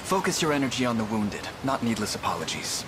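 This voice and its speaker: A man's voice: medium pitch, very animated, fast, noisy background, natural voice. A man playing a just, composed prince in a video game, speaking formally and decisively. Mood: calm, resolute. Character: young noble prince, calm, just army leader. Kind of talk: video game cutscene voice acting.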